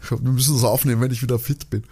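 Casting: male podcast co-host, Austrian accent, mid-pitched voice, casual, likes to joke and argue his case. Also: fairly even intonation, racing, mellow, breathy edge. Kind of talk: casual banter.

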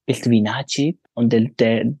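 Man in his twenties, mellow husky voice, dry close sound, hesitant speech with many fillers. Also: medium pitch, fairly even intonation, moderate pace, no echo. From Afghanistan. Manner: hesitant, many fillers, non-native grammar. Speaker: interview guest. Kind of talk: casual interview answers.

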